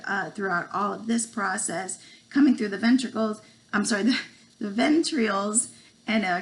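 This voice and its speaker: A woman in her forties with a cold, losing her voice, giving a halting home video lesson full of ums and stumbling over medical terms. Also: medium pitch, fairly bright tone, poor audio. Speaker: student presenter. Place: small room at home, indoors. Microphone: laptop webcam.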